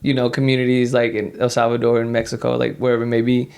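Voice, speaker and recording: A man's voice: medium pitch, completely flat delivery, fast, vocal fry, a little mellow. Thoughtful man co-hosting a podcast. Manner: thoughtful, leans on "like" as a filler. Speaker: podcast co-host. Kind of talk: conversational interviewing.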